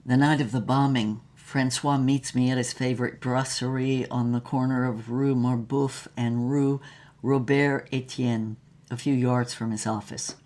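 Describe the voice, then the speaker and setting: A woman's voice: very deep voice, steady tone, unhurried, darkish tone. A woman playing a wistful, heartbroken former actress who narrates her lost love in an audio drama with dramatic, lingering melancholy. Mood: bleak, wistful.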